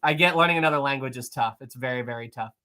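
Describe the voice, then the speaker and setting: A man hosting a casual live stream, answering viewer messages in an animated, rambling way with frequent fillers, restarts and laughs. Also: mid-range voice, fast. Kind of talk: casual live-stream chat reading super chats.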